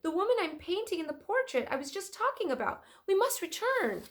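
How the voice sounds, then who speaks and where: A woman voicing an excitable, dreamy older male inventor and artist in a children's storybook, dramatic and emphatic. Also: very high voice, a little fast, pitched above the performer's own voice. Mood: flustered, urgent. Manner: emphatic, exclaiming. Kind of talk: children's storybook read-aloud.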